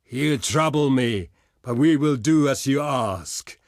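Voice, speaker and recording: Proud barbarian envoy, dry sound. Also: male voice, mid-range voice, slow pace, no echo. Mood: grudging, dismissive. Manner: declamatory, archaic formal phrasing. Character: proud, gruff barbarian warrior envoy, blunt and boastful. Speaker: diplomat character in a strategy game. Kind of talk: theatrical video game voice lines.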